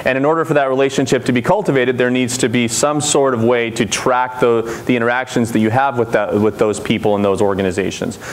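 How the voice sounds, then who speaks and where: Loud-voiced man giving a conference talk. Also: medium pitch, brisk, hardly any pauses, a little hiss or hum. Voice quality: loud, carrying voice. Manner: energetic, engages the audience with questions. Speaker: conference speaker. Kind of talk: conference presentation. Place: conference room.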